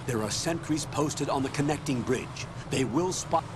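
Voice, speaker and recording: A man's voice: on the high side, normal speed, natural voice. Serious military commander, played by a man, instructive and urgent, video game briefing over noisy ambience. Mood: urgent warning.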